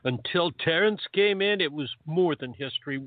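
An actor playing a grumpy, lovelorn older time agent with an earnest, grumbling way in a radio play. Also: male voice, fairly high pitch, animated, moderate pace, no room sound, narrow, tinny audio, natural voice. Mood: troubled, grumpy.

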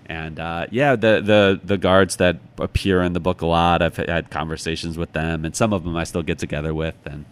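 A man's voice: deep voice, fairly even intonation, normal speed, few pauses. An American man talking as an interview guest in a reflective, casual and musing way. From United States, Chicago. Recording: clearly noisy background.

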